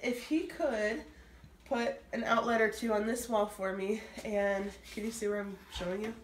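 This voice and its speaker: A woman's voice: medium pitch, fairly even intonation, normal speed, a little hiss or hum. A woman in her thirties with an American accent, chatting to the camera while she renovates an unfinished laundry room, filmed on a phone. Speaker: vlog host. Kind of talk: casual home renovation vlog. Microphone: phone camera held at a distance. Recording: echoey room, distant phone mic.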